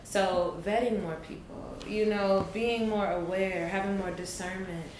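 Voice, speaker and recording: A woman in her late twenties, talking thoughtfully and deliberately in a casual group conversation, with emphatic bursts. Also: mid-range voice, steady tone, darkish tone. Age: 20s. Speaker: podcast guest. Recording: echoey room with faint noise.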